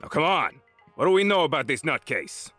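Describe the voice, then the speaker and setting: Hot-tempered, animated mob boss. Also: male voice, on the high side, fairly quick, soft background music. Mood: impatient, menacing. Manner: exasperated, emphatic questioning. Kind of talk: audio drama acting.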